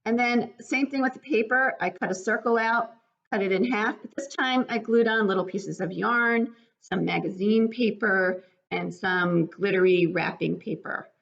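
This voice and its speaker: A woman's voice: medium pitch, creaky, fairly bright tone. An older woman, explaining a children's craft step by step in a plain way, like a preschool educator. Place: living room at home, indoors. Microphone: webcam at about arm's length. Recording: dull webcam sound.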